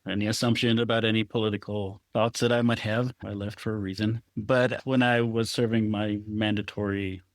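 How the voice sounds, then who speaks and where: A man's voice: fairly low pitch, flat delivery, moderate pace, few pauses, no echo. A middle-aged man from Israel, a photographer, telling stories thoughtfully in an interview and laughing often. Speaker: guest photographer. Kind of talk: reflective conversational interview.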